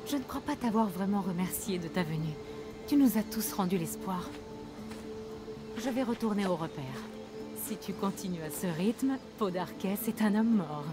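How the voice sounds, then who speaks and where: Une actrice de doublage jouant une jeune cheffe rebelle audacieuse et passionnée dans un jeu vidéo. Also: female voice, mid-range voice, lively intonation, moderate pace, music in the background, close to an everyday voice. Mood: grateful. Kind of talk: dubbed video game acting.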